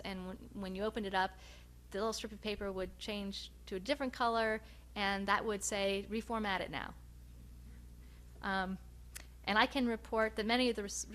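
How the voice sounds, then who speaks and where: A woman researcher giving a research presentation, speaking in a measured, hesitant way with frequent pauses. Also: medium pitch, fairly bright tone. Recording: noticeable background hum and noise.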